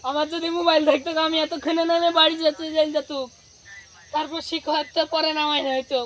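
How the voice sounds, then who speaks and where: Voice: high-pitched, level tone, quick pace, a bit bright, a little hiss or hum. A performer playing a hapless, plaintive villager in an amateur comedy skit, whining. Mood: pleading.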